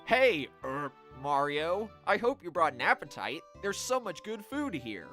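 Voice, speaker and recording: Guy as a greedy jolly little mushroom dude in a game, chummy, bright and bouncy. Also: a little high, very animated, a little slow, faint music behind, pitched above the performer's own voice, heavily acted. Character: gluttonous, jolly little mushroom party guest.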